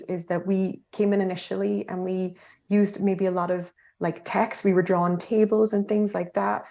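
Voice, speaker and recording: A woman's voice: medium pitch, dark tone, dull audio. A woman on a panel answering questions in an earnest way, with many restarts and fillers.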